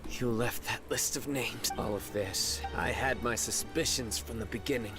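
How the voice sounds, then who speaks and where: A man's voice: medium pitch, moderate pace, lightly acted. An actor playing a stern, principled young male guardian in a video game, curt and stoic in delivery. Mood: cold resolve. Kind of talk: dramatic video game voice acting. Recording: background music under the voice.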